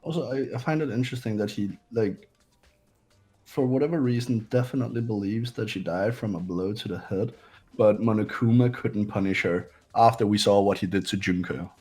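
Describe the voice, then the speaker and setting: A man's voice: lowish voice, a little fast, a little mellow. A man giving casual commentary as a cast member in a group game playthrough.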